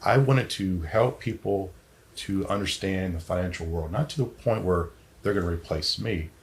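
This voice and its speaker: Low voiced us guy, financial advisor on a podcast, plain talking, makes points firmly. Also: moderate pace. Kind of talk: conversational podcast interview.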